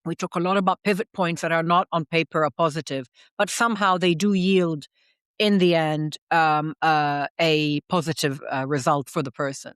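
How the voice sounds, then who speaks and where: A woman hosting her own podcast reflects in an earnest, measured way, pausing on fillers and restarting her sentences. Her voice is smooth and resonant, recorded close and dry with no room sound. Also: low voice, fairly even intonation, no room sound. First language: English. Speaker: podcast host.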